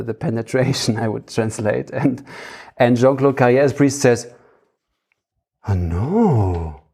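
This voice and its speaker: Gravelly, mellow male podcast host. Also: medium pitch. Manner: halting, searching for words. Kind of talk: casual conversational podcast talk.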